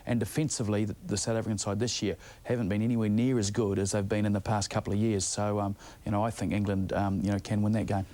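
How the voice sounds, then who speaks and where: Fast, low-voiced New sports pundit. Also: male voice, level tone, dark tone. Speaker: rugby pundit. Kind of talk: off-the-cuff sports analysis.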